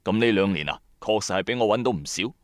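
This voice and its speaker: A man's voice: on the low side, expressive, fast talker, no echo, clean studio sound, close to an everyday voice. A man playing a bankrupt, hot-tempered trader who narrates his own story in colloquial, emphatic style for a radio drama. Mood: bitter, lamenting. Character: bankrupt male electronics trader, hard-pressed, hot-tempered, streetwise. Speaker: first-person narrator and lead. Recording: background music under voice. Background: music.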